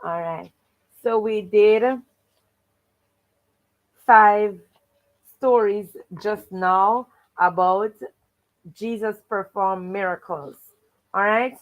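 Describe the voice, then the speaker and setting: A woman's voice: mid-range voice, steady tone, extremely slow, long pauses, dull audio. A woman hosting a children's read-aloud livestream, encouraging and fond of repeating stock welcome phrases. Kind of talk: livestream hosting, greeting viewers and recapping stories.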